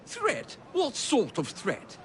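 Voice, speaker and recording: An actor playing an indignant, pompous older British prime minister in a video game cutscene, blustering and imperious. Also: male voice, extremely high pitch, wildly swooping pitch, slow pace, very noisy, full character voice. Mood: alarmed. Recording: loud street and carriage clatter behind.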